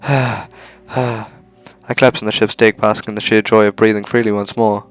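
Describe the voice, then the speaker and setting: A man's voice: lowish voice, level tone, moderate pace, smooth, soft background music. A man reading a visual novel aloud for a let's play, with casual asides.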